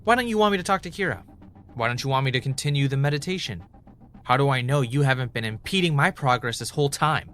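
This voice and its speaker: An actor playing a haunted, paranoid, defiant man in an audio drama, speaking intensely in short, halting phrases. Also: mid-range voice, lively intonation, a bit bright, slight background noise, close to an everyday voice. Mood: accusing.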